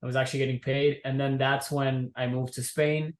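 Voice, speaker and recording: A young man from Miami, a guest entrepreneur, telling his story in a relaxed, easygoing way. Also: mid-range voice, a little fast, roomy sound. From Miami, USA. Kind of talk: casual interview.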